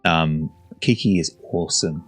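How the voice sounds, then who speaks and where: A man's voice: low-pitched, a little slow, soft background music. A man giving casual, chatty, jokey reaction commentary on game trailers. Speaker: gaming reaction host.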